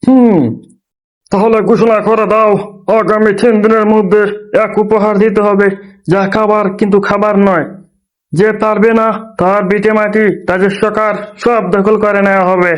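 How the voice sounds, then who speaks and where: A man's voice: high-pitched, flat delivery, moderate pace, clean tone, close to an everyday voice. A man playing a haughty, commanding king in a moral story cartoon. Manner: commanding, pompous. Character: greedy, haughty king, later repentant.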